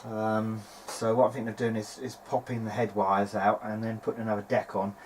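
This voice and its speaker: A man's voice: lowish voice, level tone, brisk. A man giving casual, unscripted commentary as a hobbyist at his workbench, hesitant and tentative as he thinks aloud. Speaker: hobbyist video maker repairing vintage audio gear. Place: home workbench, speaking behind a handheld camera. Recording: clearly noisy amateur home recording.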